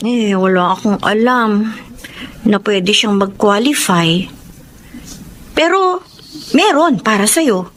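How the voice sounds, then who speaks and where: A woman's voice: mid-range voice, lively intonation, slow, long pauses, fairly bright tone, a little hiss or hum. An actress playing a concerned, pushy, coaxing female friend in a Filipino radio drama.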